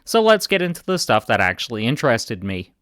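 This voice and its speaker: Male game commentator, deadpan read, resonant and dry. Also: mid-range voice, fairly quick, dry recording. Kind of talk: scripted video commentary.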